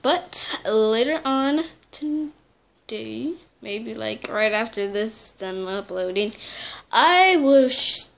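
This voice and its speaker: A woman's voice: on the high side, animated, slow pace, gravelly, fairly bright tone. A young teen girl chatting casually to her camera in a small dim bedroom, rambling and correcting herself as she goes. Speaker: vlogger. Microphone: device camera held close.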